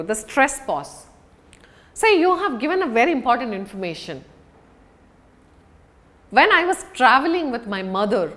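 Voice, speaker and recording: A woman teaches like a speaking coach, in a fairly high voice that moves widely up and down. She is deliberate and emphatic, pausing to stress key words as she explains things to viewers. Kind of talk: instructional lesson to viewers.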